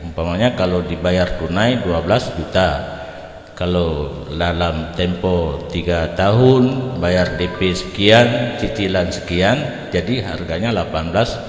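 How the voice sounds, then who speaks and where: An older Islamic teacher with an Acehnese accent speaks in a very low, unhurried voice. He explains rulings informally, in the manner of a lecture answering questions. The sound rings with the long echo of a hall. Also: soft background music. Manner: informal, explanatory, switches between languages. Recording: echoey hall with long reverb.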